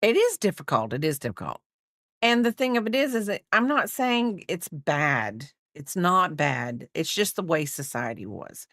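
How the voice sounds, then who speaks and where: Rambly woman in her 50s chatting on her stream, lowish voice that jumps around a lot. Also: sing-song, moderate pace, fairly bright tone, no room sound, clean studio sound. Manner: rambling, chatty, reads out viewer comments. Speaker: crochet livestream host. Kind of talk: casual livestream chat.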